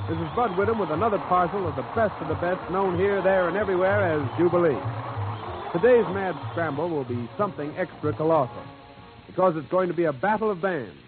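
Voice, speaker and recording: A man's voice: high voice, brisk. An American man hosting a 1940s radio music show, announcing in showy, jive-talking patter. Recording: old, noisy, narrow-band radio broadcast.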